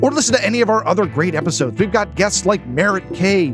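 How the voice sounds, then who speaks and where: A man's voice: high-pitched, animated, fast. A man hosting a podcast, delivering an upbeat promo read for his show. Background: music.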